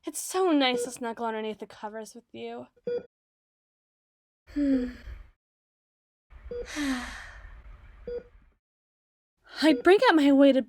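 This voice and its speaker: A woman playing an obsessive, doting doctor in an ASMR roleplay, speaking softly and articulately with theatrical tenderness. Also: high-pitched, dry recording, studio quality, exaggerated voice. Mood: tender, possessive. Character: obsessive, doting yandere woman doctor, soothing but possessive. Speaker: audio roleplay voice performer.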